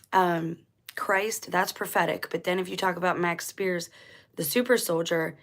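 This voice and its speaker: A woman with a lowish voice hosts her own channel, talking casually and drifting between thoughts. Her throat sounds dry and a bit scratchy, and she often backs up to fix her words mid-sentence. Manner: rambling, self-correcting, conversational. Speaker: channel host. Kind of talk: casual commentary vlog.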